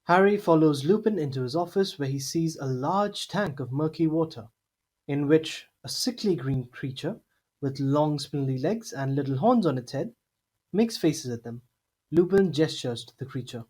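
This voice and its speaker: A man's voice: a little high, normal speed, darkish tone, breathy edge. A man reading scripted narration for an audio drama. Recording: slightly noisy home mic.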